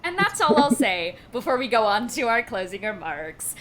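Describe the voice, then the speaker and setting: A woman's voice: fairly high pitch, normal speed, a crowd in the background. A young woman running a tabletop game, wrapping up the session with lots of laughter. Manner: giggly, energetic. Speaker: game master. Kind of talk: casual chat.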